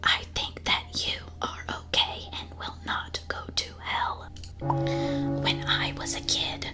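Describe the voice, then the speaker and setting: A woman streamer reading aloud in a breathy ASMR whisper close to the microphone, with a playful, casual delivery. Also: very deep voice, completely flat delivery, slow, loud music behind the voice. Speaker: streamer host reading listener confessions.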